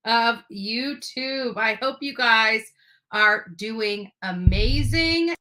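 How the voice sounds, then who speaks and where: A woman's voice: a little high, drawn-out words, pushed and bright, low-quality recording. A woman YouTuber greeting her viewers and explaining her plans for the day.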